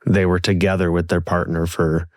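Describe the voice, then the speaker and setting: A man co-hosting a podcast in casual chat, easygoing and a little hoarse from a coming cold. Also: deep voice, monotone, normal speed, a little mellow, dry recording, studio quality. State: slightly hoarse, getting sick. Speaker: producer and co-host.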